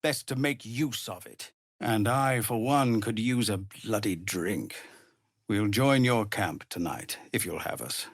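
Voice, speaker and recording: Fantasy game refugee leader: husky, gravelly, weary and earnest, slow formal phrasing, grave bearing. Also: male voice, on the low side, animated, dry recording, clean studio sound. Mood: calm, grateful. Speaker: video game character voice. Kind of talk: dramatic fantasy game dialogue.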